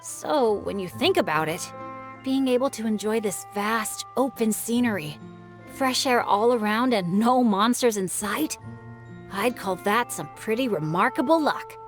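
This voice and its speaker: Cheerful teen boy adventurer, modest and easygoing, lively bouncy pitch, slightly high voice, faint game music. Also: unhurried. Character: upbeat teenage boy adventurer, humble and unlucky. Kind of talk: video game voice acting. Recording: game audio with soft music behind.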